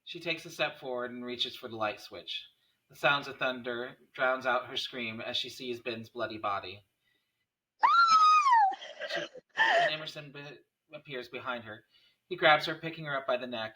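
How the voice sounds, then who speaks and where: A man's voice: medium pitch, normal speed, sharp tone, low-quality recording. A man reading stage directions aloud as narrator of a screenplay table read.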